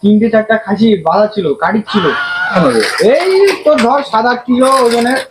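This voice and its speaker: A man's voice: very high-pitched, normal speed. A man playing a cheeky young village grandson in an amateur comedy skit, teasing and questioning with a North Bengal accent. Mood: excited, boasting. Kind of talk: amateur comic skit acting. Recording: clear ambient background noise.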